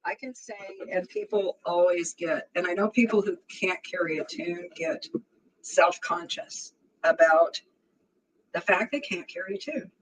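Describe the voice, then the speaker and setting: A woman's voice: lowish voice, steady tone, measured pace, a little hiss or hum, low-quality recording. Chatty woman in her sixties with a strong voice. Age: 60s. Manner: chatty and warm, laughs often. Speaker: livestream host. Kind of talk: casual livestream chat.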